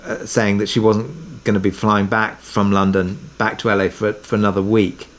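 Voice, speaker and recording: A man with a fairly low voice speaks as a guest in a relaxed interview. He tells behind-the-scenes stories conversationally, thinking aloud as he goes. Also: normal speed. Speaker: drama producer interviewed as a guest. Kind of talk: casual interview anecdote.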